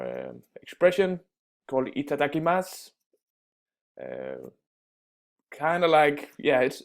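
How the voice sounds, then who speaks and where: A man teaching everyday phrases in a casual spoken lesson, pausing often with fillers and restarts. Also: fairly high pitch, creaky, darkish tone. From Denmark. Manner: halting, many fillers and restarts. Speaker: language teacher.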